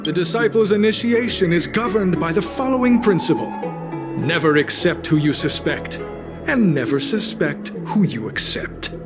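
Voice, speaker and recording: An actor playing a calm, coolly sinister cult recruiter in a video game, speaking formally with polite menace. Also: male voice, high voice, moderate pace, over music. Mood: solemn, assured. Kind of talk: scripted video game cutscene acting.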